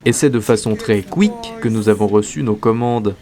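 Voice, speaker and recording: A man's voice: lowish voice, normal speed, mellow. A man narrating with pompous, ironic mock-documentary solemnity.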